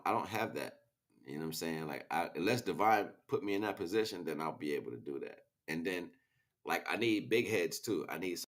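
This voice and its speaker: A man hosting a casual livestream, talking in a slangy, rambling, preachy way as he chats back to viewers. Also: a bass voice. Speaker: livestream host. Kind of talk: casual impassioned livestream monologue.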